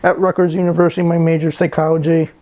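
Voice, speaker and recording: A man's voice: on the high side, little pitch movement, racing, mellow. A man in his twenties introducing himself and listing what he likes in short sentences. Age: 20s. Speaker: college student. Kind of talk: self-introduction to camera.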